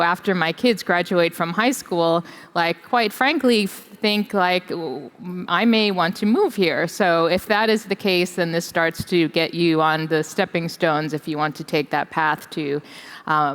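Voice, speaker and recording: A middle-aged woman shares her experience on a panel in a clear, smooth voice on the low side. The large hall gives her words a long reverb. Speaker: company executive, panelist. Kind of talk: panel discussion storytelling. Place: large summit hall on stage.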